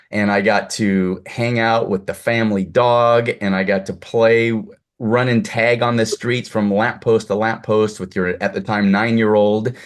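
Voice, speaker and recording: Effusive male interview host. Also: fairly low pitch. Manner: effusive, complimentary. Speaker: host, producer. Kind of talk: casual interview chat.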